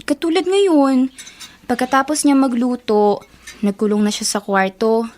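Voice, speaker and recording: A woman playing a puzzled, observant young daughter in a radio drama, speaking with wondering, drawn-out emphasis. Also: high voice, darkish tone. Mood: worried, puzzled. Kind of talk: Filipino radio drama acting.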